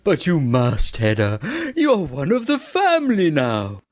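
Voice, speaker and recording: An earnest, fretful suitor from a stage parody, acted out. He is fussy and exclamatory, stretching words slowly with lively swings in pitch, recorded close and dry. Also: no echo, full character voice. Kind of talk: comic stage-play acting.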